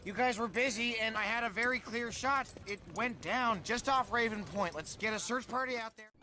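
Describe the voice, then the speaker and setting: A man voicing an awkward, sarcastic, scrawny teenage Viking boy in an animated film, nasal, with a wry, stammering delivery. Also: extremely high pitch, moderate pace, slight background noise, exaggerated voice. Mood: insistent.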